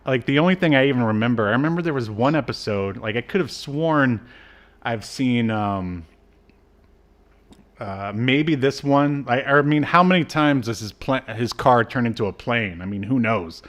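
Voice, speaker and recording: A man co-hosting a podcast in casual review chat, with a halting, rambling delivery. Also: mid-range voice. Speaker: podcast co-host.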